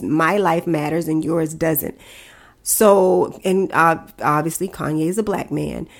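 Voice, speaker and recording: A woman hosting her own podcast, talking frankly and chattily about the week's news while stuffed up with a head cold. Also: lowish voice. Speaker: podcast host. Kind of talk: casual solo podcast commentary.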